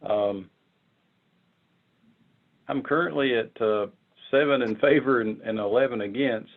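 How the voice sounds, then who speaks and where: A man informally presenting on a conference call, explaining step by step in a halting way and often repeating words. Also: medium pitch. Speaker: committee member presenting proposals. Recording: muffled narrow phone-like conference line.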